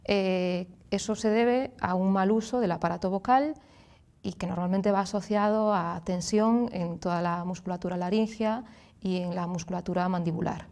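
A woman in her thirties with a Galician Spanish accent speaks in a fairly low voice. She explains things clearly and patiently, as a guest on a studio interview show. She is recorded on a lapel mic in a TV studio. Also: fairly even intonation, moderate pace, darkish tone. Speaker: speech therapist and singer as guest.

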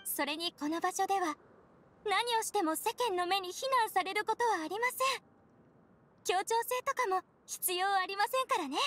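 A woman's voice: very high-pitched, lively intonation, moderate pace, a bit bright. A woman playing a polite, earnest, contented young society member in game dialogue.

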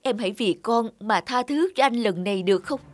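A man's voice: sits high, fairly quick, music in the background, close to an everyday voice. A man playing a guilty, contrite husband in a cartoon, imploring in his talk. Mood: guilty, pleading. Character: guilty, pleading unfaithful husband and father. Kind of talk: cartoon drama dialogue.

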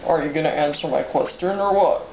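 Low-voiced young woman making prank calls. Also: brisk, a little mellow. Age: young adult. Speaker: prank caller. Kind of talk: prank phone call. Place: small room at home, indoors. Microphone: camera microphone a short distance away. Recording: roomy, noisy home camera recording.

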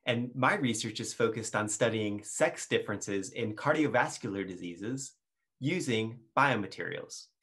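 A man's voice: lowish voice, animated, measured pace, a bit bright. A man from Colorado, an assistant professor, giving a short upbeat self-introduction by webcam from his office. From Colorado, USA. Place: office with bookshelves.

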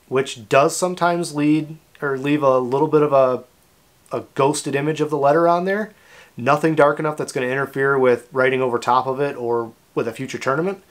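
A man in his thirties with a North American accent, hosting a product demonstration in a plain-spoken, informal, explanatory way. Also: medium pitch. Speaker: host of a kayak fishing channel. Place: small indoor workshop room.

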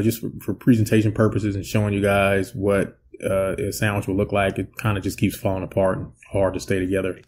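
Low-voiced man, relaxed rambling cooking host. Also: slight background noise. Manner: relaxed, rambling, filler-heavy explaining. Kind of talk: casual cooking voice-over and taste test. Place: indoor home set with plain backdrop.

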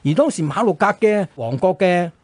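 A man's voice: high voice, animated, normal speed, a little mellow, no room sound. A man with a Hong Kong Cantonese accent telling history conversationally as a radio co-host, explaining with emphatic turns of phrase.

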